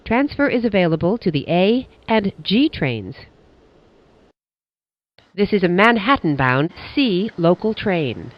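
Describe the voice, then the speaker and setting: A subway announcer delivers formal, scripted transit announcements in clipped stock phrases. The pace is slow, yet the pitch moves in lively swings. The audio is slightly dull and lo-fi. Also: no room sound.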